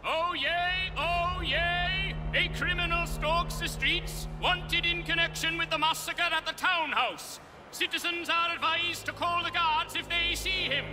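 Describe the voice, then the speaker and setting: Stern, high-pitched shouting authority figure. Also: male voice, steady tone, measured pace, music in the background, poor audio. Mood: proclaiming. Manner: commanding, declamatory. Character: stern shouting male authority, soldier or town crier. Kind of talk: loud public proclamation.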